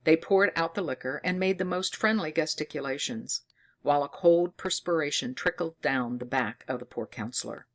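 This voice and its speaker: A narrator reading a fairy tale in an expressive, theatrical storytelling manner. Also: mid-range voice, moderate pace.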